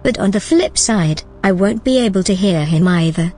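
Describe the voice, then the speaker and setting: A woman playing a shrewd, self-assured artificial intelligence in a sci-fi audio drama, with a husky voice and a cool, dry, matter-of-fact delivery. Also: medium pitch, expressive, normal speed, darkish tone, faint music behind. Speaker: supporting actor in an audio drama.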